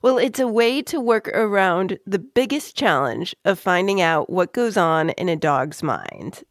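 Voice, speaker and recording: A woman hosting a kids' science podcast, explaining things clearly and simply in a conversational way. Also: lowish voice, big pitch swings, a little slow, dry recording, studio quality. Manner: clear simple explaining, addressing young listeners. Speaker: podcast host.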